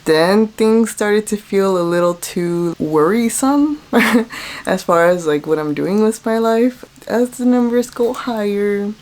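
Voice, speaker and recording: A woman's voice: mid-range voice, takes it slowly, a little mellow. A woman in her late twenties talking casually to camera in a personal vlog, candid and reflective. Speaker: vlogger.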